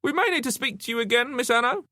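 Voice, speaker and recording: A man voicing a stern, brisk female detective inspector, formal and interrogating, in an audiobook crime story. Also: very high-pitched, expressive, sharp tone, no room sound, studio quality, voice pushed up, exaggerated voice.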